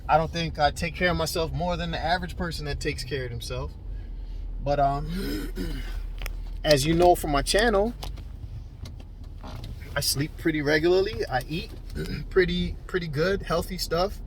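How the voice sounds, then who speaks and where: Casual, slangy man with a higher-pitched voice. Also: measured pace, pauses often, slight background noise. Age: early 40s. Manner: slangy, conversational, joking. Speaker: video blogger. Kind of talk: casual chat to camera.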